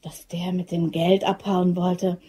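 An amused woman who is an accomplice in a radio crime drama. Her voice is soft-edged, warm and husky, and her delivery is chatty and wry. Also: on the low side, level tone, unhurried. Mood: gleeful, wry. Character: amused, conspiring female office worker, accomplice in crime.

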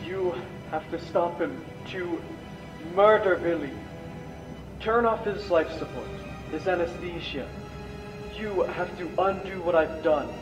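A man playing a weary, guilt-ridden old scientist in an amateur video game dub, speaking gravely and solemnly. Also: high voice, measured pace, muffled recording. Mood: pleading, weary. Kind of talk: dramatic video game monologue, amateur voice acting. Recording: echoey, distant voice over game music.

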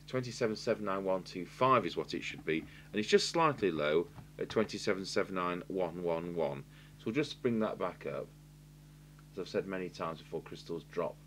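An English man with a low-pitched voice talks through a technical repair in a matter-of-fact, informal way. He thinks aloud as he works at an indoor bench. The recording carries a faint background hum and noise. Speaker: radio repair technician, presenter. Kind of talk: informal hands-on repair demonstration commentary. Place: indoors at a workbench.

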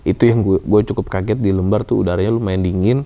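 Low-voiced young man narrating a ride. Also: fairly even intonation, fast, dark tone. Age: young adult. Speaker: motorcycle vlog host. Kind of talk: casual explanatory narration. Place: indoors in a decorated lounge. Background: background music.

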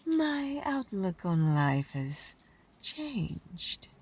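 An actress playing a sultry, vengeful female vampire with a breathy, husky voice and theatrical menace in a gothic radio drama. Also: medium pitch, big pitch swings, very slow, exaggerated voice. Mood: cold, vengeful.

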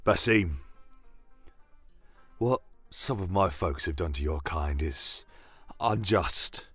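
A man playing a captive soldier in a fantasy tale speaks low and slowly. He is troubled and remorseful, halting as he apologizes, with music behind him. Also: expressive, a bit bright, husky, no echo, exaggerated voice. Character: Leonius, troubled, remorseful male enemy soldier held captive. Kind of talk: dramatic fantasy audio story.

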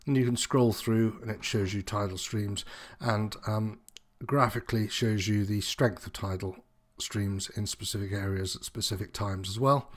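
Man, lowish pitch, instructor, informal unscripted walkthrough, hesitant meandering explanations. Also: fairly even intonation, moderate pace, hardly any pauses. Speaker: sailing instructor presenting tutorial.

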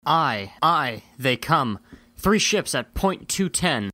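A man's voice: a little high, big pitch swings, slow, bright, forceful tone, no room sound, clean studio sound, heavily acted. A man playing a resolute squadron leader who gives commands in declaimed Elizabethan verse in an audio drama. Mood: alert.

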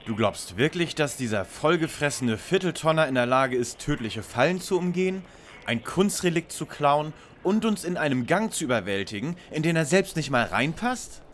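A man's voice: a little high, animated, normal speed, slight background noise, natural voice. An actor playing a sceptical, wordy, bossy young male detective in a parody audio drama.

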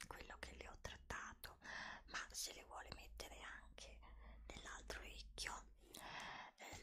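Woman doing asmr doctor roleplay, breathy whisper, really slow and soothing, super close on the mic. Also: a little high. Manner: soothing, unhurried, softly reassuring. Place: indoors, close-up in front of a dark backdrop. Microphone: desktop condenser mic with ear attachments, very close.

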